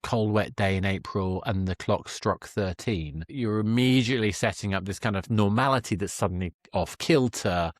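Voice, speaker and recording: A man's voice: lowish voice, moderate pace, few pauses, no room sound, clean studio sound. A man hosting a conversational podcast interview, rambling enthusiastically and often rephrasing himself mid-thought. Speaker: podcast host interviewing a guest.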